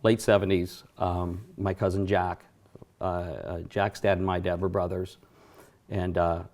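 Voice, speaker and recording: Middle-aged male guest, low voice, rambling storyteller, studio interview. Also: little pitch movement, unhurried. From Johnstown, Pennsylvania area. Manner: reminiscing, warm storyteller with fillers and self-corrections. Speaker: guest, racing announcer. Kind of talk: casual interview chat.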